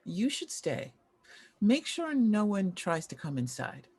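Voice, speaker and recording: A woman's voice: medium pitch, sing-song, takes it slowly, darkish tone, breathy edge, heavily acted. A woman playing an imperious older former child actress at a drama table read, grand, clipped and commanding.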